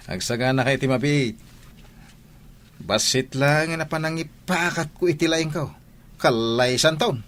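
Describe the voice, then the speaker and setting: A man's voice: fairly high pitch, unhurried, pauses often, a bit bright. A man with an Ilocano accent playing a boastful young village suitor in a radio comedy drama, teasing and chuckling.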